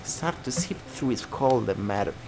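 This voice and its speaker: A man's voice: mid-range voice, expressive, unhurried, creaky, heavy background noise. A man reading a visual novel aloud as a let's player, narrating dramatically and dropping into casual asides. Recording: guitar music behind.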